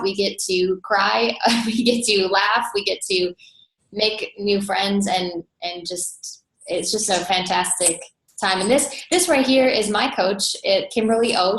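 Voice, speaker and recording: A woman's voice: mid-range voice, a bit bright. American woman presenting in a roomy echo. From North Dakota, USA. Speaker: webinar host and team coach. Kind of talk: informal webinar presentation. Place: indoors, online video call.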